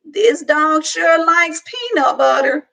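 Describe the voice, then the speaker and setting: A woman voicing a kind old toothless woman, slow and high. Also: animated, gravelly, a bit bright, exaggerated voice. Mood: folksy kind. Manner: slow drawl, high-pitched.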